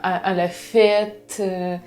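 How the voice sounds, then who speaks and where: A woman in her thirties from Ukraine, with a Ukrainian accent, giving a halting interview testimony and searching for her words. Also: on the low side, flat delivery, darkish tone. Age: 30s.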